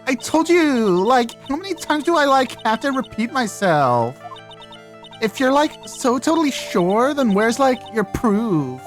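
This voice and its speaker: A woman's voice: fairly high pitch, big pitch swings, unhurried, faint music behind, pitched above the performer's own voice. A performer voicing a ditzy young valley-girl woman who drawls defensively in a video game dub. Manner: filler-heavy valley-girl drawl, peppered with "like".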